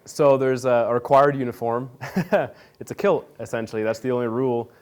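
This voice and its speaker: A young man from Nebraska answering interview questions in a relaxed, rambling way, full of fillers and restarts. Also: mid-range voice, normal speed, smooth. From Nebraska, USA. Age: young adult. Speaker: interview guest. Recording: radio studio interview.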